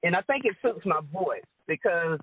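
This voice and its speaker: A woman with a deep alto voice, chatting casually as a guest and laughing often. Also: animated, normal speed, slight background noise. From Rome, Georgia, US. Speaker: guest singer and entertainer. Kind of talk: casual chat. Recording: dry phone-line call audio.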